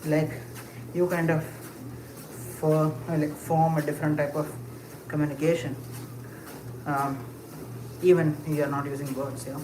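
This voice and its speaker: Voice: mid-range voice, little pitch movement, normal speed. Rambling livestreamer in an echoey space. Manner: rambling, musing aloud with frequent fillers and short laughs; talks while walking. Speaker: livestreamer answering chat. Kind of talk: casual live chat. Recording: echoey space with clear ambient noise.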